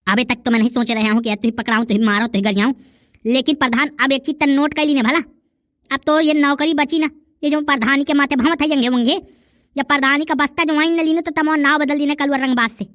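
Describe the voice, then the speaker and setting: A man's voice: very high voice, fairly even intonation, fast talker, fairly bright tone, no room sound, clean studio sound. A man voicing a brash young village tough in a cartoon comedy, scolding and taunting in rustic Bundelkhandi speech. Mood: scolding, threatening.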